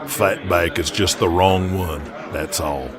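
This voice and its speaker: A man voicing a stubborn, gruff wrestling promoter in an audio drama, terse, folksy and blunt. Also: low-pitched, steady tone, takes it slowly, pitched below the performer's own voice. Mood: dismissive.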